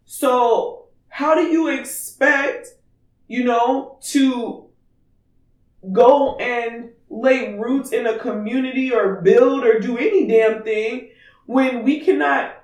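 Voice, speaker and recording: A man with a raspy voice chatting candidly and emphatically as a podcast co-host. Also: very high voice, slow. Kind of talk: casual opinionated podcast chat. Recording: distant mic in echoey room.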